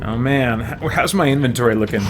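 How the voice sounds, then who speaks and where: A man's voice: medium pitch, brisk, noisy background. A man streaming a video game, chatting casually and thinking aloud in a rambling, musing way. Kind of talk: casual livestream chat over gameplay.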